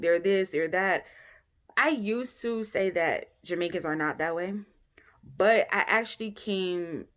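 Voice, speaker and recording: A young woman talking candidly to camera in a casual vlog, with frequent "um" pauses and a pace that keeps shifting between quick and drawn out. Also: fairly low pitch, a bit bright. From United States. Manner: candid and chatty, many "um" pauses, speeds up and slows down. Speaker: vlogger.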